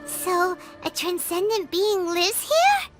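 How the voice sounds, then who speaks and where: A woman's voice: very high voice, sing-song, slow pace, full character voice. A woman voicing a tiny, nosy female fairy companion in a video game, who bubbles over and talks about herself in the third person. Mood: cheerful. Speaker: game character. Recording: game audio with loud music.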